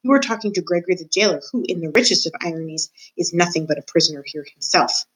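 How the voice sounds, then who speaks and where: A woman's voice: lowish voice, quick pace, close to an everyday voice, poor audio. A woman voicing an old male dungeon jailer who talks in a menacing, theatrical way and calls himself by name. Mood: grandiose.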